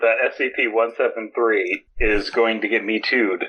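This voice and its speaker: A man co-hosting a podcast in casual discussion, halting as he talks, over dull, degraded voice-call audio. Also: on the low side, fairly even intonation, a little hiss or hum. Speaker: podcast co-host.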